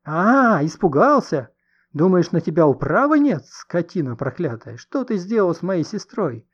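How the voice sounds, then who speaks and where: Man voicing a furious, accusing woman, pitched up. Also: big pitch swings, moderate pace, husky, lo-fi sound, exaggerated voice. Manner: loud, agitated, accusing. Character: furious hysterical woman hurling curses and accusations.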